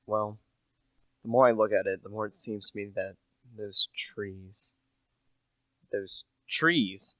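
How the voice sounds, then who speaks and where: A man's voice: fairly low pitch, fairly even intonation, slow pace, long pauses, sharp tone, dry recording, poor audio. A young man playing a humble, earnest salesman turned amateur sleuth in a radio play, plain-spoken and a little hesitant. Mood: thoughtful. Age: young adult.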